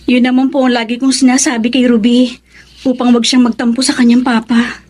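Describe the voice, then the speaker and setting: A woman's voice: fairly high pitch, level tone, fairly quick, darkish tone, close to an everyday voice. An actress playing a patient, long-suffering young mother in a Filipino radio drama, respectful and plaintive. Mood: worried, respectful.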